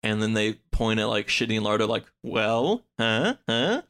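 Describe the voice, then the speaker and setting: Guy with a lowish voice, casual podcast chat, kinda halting as he recaps stuff. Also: sing-song, fairly bright tone, smooth. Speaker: podcast speaker discussing books.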